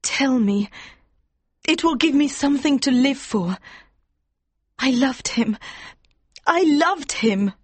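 A woman playing a devoted young woman in mourning in a dramatised audiobook, earnest and pleading, with a breathy voice. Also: high voice, animated, slow, pauses often, dry recording. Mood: pleading, anguished.

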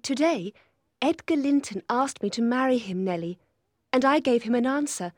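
Woman doing a moody spoiled girl, little higher, soft and pleading, dry close audio. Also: expressive, normal speed, no echo. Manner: soft, pleading, self-absorbed. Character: spoiled, unhappy young woman. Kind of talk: audiobook character voice.

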